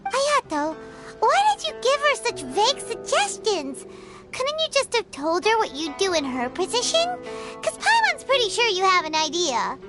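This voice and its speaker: A tiny floating fairy girl in a video game, piping and squeaky, bouncing wildly up and down as she chatters. Curious and chirpy, she talks about herself in the third person. Clear game music plays loudly underneath. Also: wildly swooping pitch, moderate pace, full character voice. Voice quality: high, childlike, bouncy.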